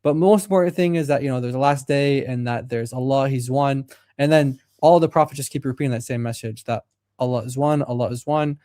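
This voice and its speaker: A man with a mellow, mid-pitched voice hosts a live call-in stream. He is easygoing and thinks out loud between fillers, recorded close and dry. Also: brisk, dry recording. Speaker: co-host. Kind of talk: casual call-in discussion.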